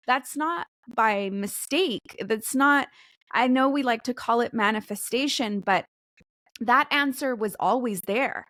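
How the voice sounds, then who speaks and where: A woman's voice: on the high side, a bit bright, no room sound, studio quality. A woman in her forties, a podcast guest explaining ideas in an earnest way. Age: 40s. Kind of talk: podcast interview.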